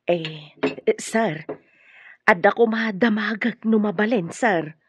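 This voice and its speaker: A woman's voice: medium pitch, slow pace, husky, dry recording, studio quality. A woman playing a deferential, concerned household helper in an Ilocano radio drama, respectful and coaxing. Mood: nervous, deferential. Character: deferential, concerned female household helper, plain-spoken and curious. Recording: muffled radio drama recording, faint hiss.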